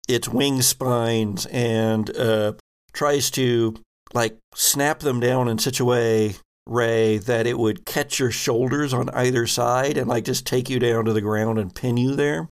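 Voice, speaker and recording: Guy game mastering a tabletop rpg, lots of vocal fry, takes his time, pieces scenes together haltingly. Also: mid-range voice, steady tone. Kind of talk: narrating a tabletop roleplaying game.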